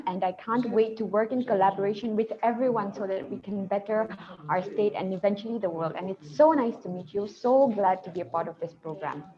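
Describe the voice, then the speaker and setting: Enthusiastic Mizo woman delegate, dull call sound. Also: medium pitch. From Mizoram, India. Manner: bright, enthusiastic. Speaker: program delegate. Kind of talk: self-introduction on video call. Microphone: video call. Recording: dull video call audio.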